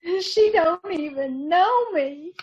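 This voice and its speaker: A woman's voice: very high-pitched, lively intonation, slow, a little mellow, lo-fi sound, full character voice. A woman acting a grieving, bitter sister in an old-time radio drama, with plain folksy speech and repeated phrases. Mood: numb grief. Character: grieving, bitter sister of a committed young mother.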